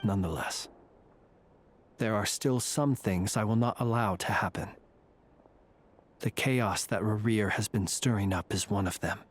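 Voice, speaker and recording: An actor playing a calm, ancient, world-weary fae warrior in a video game, with measured, formal, reflective phrasing. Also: male voice, on the low side, slight background noise, lightly acted. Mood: grave, measured.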